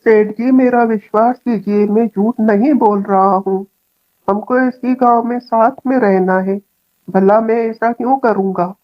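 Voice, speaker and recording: A woman's voice: a little high, steady tone, a little slow, dull audio, lightly acted. A woman voicing a poor, honest male farmer in a cartoon village story, speaking earnestly. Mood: pleading. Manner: earnest, pleading. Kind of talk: Hindi cartoon story acting.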